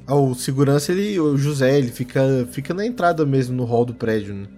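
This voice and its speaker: A man's voice: mid-range voice, expressive, brisk, mellow, soft background music, lightly acted. A man playing a nervous office worker questioned as a witness, hesitant, stammering and repeating his words in a tabletop game. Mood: anxious, hesitant.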